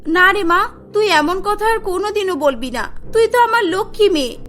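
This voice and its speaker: A woman's voice: extremely high pitch, lively intonation, normal speed, a bit bright, faint music behind. A woman voicing a protective, long-suffering young mother with dramatic emotion in a cartoon story. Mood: tender.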